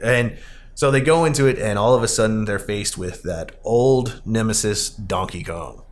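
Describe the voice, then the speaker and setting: A man hosting a casual podcast discussion about a film, talking in an animated, rambling way. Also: on the low side, moderate pace. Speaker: podcast host.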